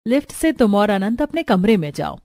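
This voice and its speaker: A woman's voice: medium pitch, very animated, normal speed, mellow, no echo, clean studio sound. A woman voicing a rich, status-anxious mother-in-law in a matter-of-fact manner. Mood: welcoming.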